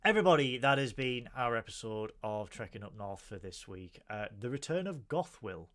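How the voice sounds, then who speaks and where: A man with a northern English accent hosting a podcast, excitable and theatrical, swearing casually. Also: mid-range voice, normal speed. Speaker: podcast host. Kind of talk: casual chat.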